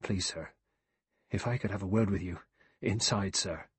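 A man's voice: deep voice, normal speed, slightly rough, no echo. Man acting a deferential, apologetic butler. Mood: agitated, pleading. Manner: servile, apologetic. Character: deferential male butler, restrained but easily rattled.

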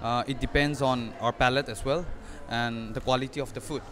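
A man's voice: mid-range voice, steady tone, a little fast, a bit bright. Young man answering a reporter. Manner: hesitant, restarts often, earnest. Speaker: restaurant owner. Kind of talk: on-camera news interview. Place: indoor restaurant dining area. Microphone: handheld reporter mic.

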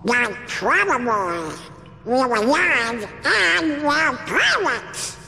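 Squawky, high cartoon duck. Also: male voice, sing-song, extremely slow, creaky, pushed and bright, soft background music, heavily acted. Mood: excited. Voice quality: squawky, garbled quack. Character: small excitable teasing cartoon duck. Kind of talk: cartoon-style game acting.

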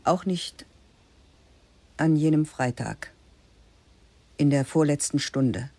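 A woman reads a literary radio drama slowly and sparely, with many pauses, her voice husky and breathy. She often sinks to a near-whisper on close studio mic. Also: deep voice, dark tone, no echo. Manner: slow, measured, spare delivery, often dropping to a whisper. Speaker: narrator. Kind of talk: scripted literary narration for a radio play.

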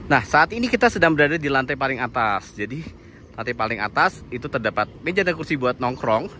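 A man walks through a place with his phone, describing what he sees in a relaxed, friendly way. His voice is bright and clear in tone. Faint outdoor sounds and passing vehicles sit behind him. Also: mid-range voice, a little fast, slight background noise. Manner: friendly, informal, points things out as he walks. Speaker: vlogger touring a cafe and guesthouse. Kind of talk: casual walk-through narration. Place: outdoors and indoors at a mountain cafe and lodging.

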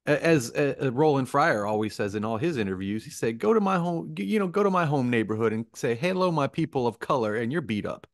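A man's voice: medium pitch, animated, brisk, hardly any pauses, dry recording, studio quality. An American man co-hosting a podcast, talking casually and giving his opinions with exasperated emphasis. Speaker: podcast co-host.

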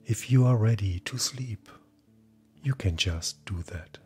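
A man speaking as a hypnotherapist, guiding sleep hypnosis in a calm, gentle, breathy voice that often nears a whisper. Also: on the low side, takes it slowly. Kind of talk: guided hypnosis, soothing scripted narration. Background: soft background music.

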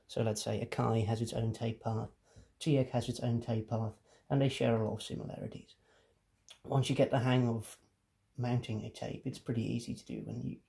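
Guy doing a casual how-to, mellow soft-edged tone, medium pitch, explains each step. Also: vocal fry. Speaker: tutorial presenter. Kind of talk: casual hands-on demonstration.

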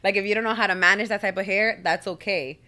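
A woman's voice: medium pitch, fairly even intonation, fast talker, sharp tone. A young woman chatting casually as a guest on a podcast. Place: living room couch, indoors. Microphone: podcast microphone.

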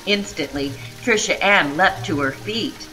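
Bright, lower-pitched woman storyteller. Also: unhurried, soft background music, rough recording. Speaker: children's storyteller. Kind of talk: expressive storybook read-aloud narration.

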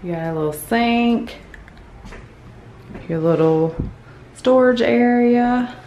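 Female vlogger, middle-aged, informal and unhurried, filming a home walkthrough on handheld camera with slight background noise. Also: on the high side, dark tone, dull audio. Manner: chatty, informal. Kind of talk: casual house tour vlog. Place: inside a furnished house, walking between rooms. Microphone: handheld camera, held by the speaker. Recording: handheld camera audio with faint room noise.

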